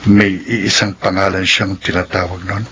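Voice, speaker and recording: A man playing a serious, caring doctor who confides gravely in a radio drama. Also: deep voice, little pitch movement, moderate pace, bright, forceful tone, slight background noise. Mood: grave, confiding. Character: earnest caring male doctor confiding a secret. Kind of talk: Tagalog radio drama acting.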